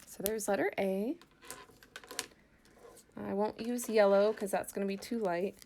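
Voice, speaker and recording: A woman's voice: medium pitch, fairly even intonation, unhurried, mellow, slight background noise. A woman math teacher giving an informal lesson, thinking aloud as she explains worked examples step by step in a casual way.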